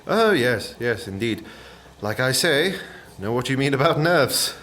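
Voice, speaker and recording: An actor playing a nervous, chatty and polite would-be novelist in a radio play, hesitant and apologetic. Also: male voice, mid-range voice, animated, measured pace, a bit bright, slight background noise. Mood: nervous chattiness. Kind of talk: naturalistic radio play acting.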